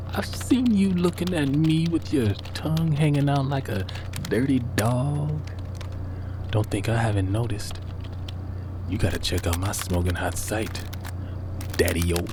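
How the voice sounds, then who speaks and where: An actor playing a brash, cheeky, excitable sidekick in an audio drama, with slangy, expressive delivery. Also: male voice, on the low side, background noise. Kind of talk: naturalistic audio drama acting.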